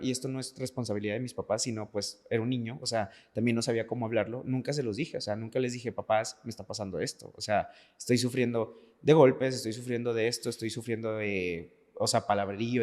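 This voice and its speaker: A man's voice: medium pitch, a little mellow. A Mexican man of about thirty speaking earnestly and personally in a podcast conversation, repeating words for emphasis. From Veracruz, Mexico. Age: late 20s to 30s. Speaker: podcast guest, dancer. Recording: echoey hall-like reverb.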